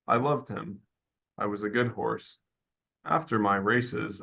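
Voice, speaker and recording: A man voicing an earnest, homesick horse who speaks in short, halting, childlike sentences in a radio drama table read. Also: on the low side, creaky, bright, forceful tone, exaggerated voice. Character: simple earnest horse, plain-spoken and homesick.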